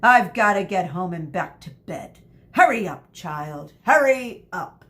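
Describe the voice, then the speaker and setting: Lady reading as a crabby bossy teacher woman, slow barked orders, storybook style. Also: fairly low pitch, expressive, fairly bright tone. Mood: snappish, impatient. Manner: barking, scolding, drawn-out emphatic commands. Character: snappish, bossy, weary woman schoolteacher.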